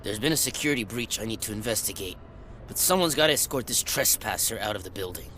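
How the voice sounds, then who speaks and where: An actor playing a gruff, irritable security guard in a video game, blunt and grumbling with a sarcastic streak. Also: male voice, lowish voice, animated, quick pace, bright, forceful tone, slight background noise. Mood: suspicious, stern.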